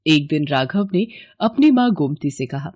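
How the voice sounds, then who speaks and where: A woman's voice: low-pitched, very animated, unhurried, darkish tone, breathy edge, dry recording, studio quality. A woman narrating a scripted cartoon story.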